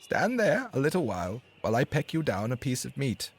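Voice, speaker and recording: A man voicing a small, bold female sparrow, high and strained, drawing out slow, fierce threats. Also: very animated, husky. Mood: friendly.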